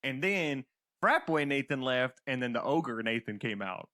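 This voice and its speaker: A man in his thirties chatting casually as a podcast co-host, chiming into stories with excitable slang. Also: medium pitch, normal speed. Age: 30s. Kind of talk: casual storytelling chat.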